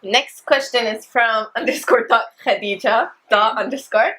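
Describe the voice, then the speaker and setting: A woman, the host's younger sister, slowly reading viewers' questions from behind the camera with the odd teasing interjection. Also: fairly high pitch, bright, forceful tone.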